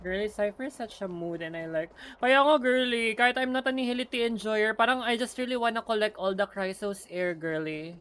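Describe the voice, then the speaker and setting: A woman's voice: medium pitch, brisk, few pauses, a bit bright. A young woman, chatting casually while streaming a game, mixing in Tagalog. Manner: chatty, mixes Tagalog into English.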